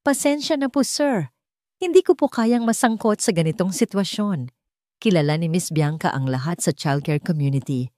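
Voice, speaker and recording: A woman's voice: fairly low pitch, lively intonation, normal speed, a little mellow, dry recording, studio quality, lightly acted. Woman acting anxious, apologetic Filipino nanny. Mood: apologetic, firm. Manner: deferential. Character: anxious, apologetic nanny, polite but firm.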